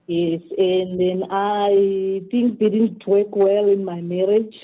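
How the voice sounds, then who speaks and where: A woman in her thirties from Zimbabwe tells her own story over the phone to a radio host. She speaks at a middle pitch, hesitating and restarting sentences as she goes. The sound is thin and boxy, like a narrow phone line. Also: steady tone, slow pace. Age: 30s. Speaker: guest. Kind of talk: phone-in interview, personal story. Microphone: telephone.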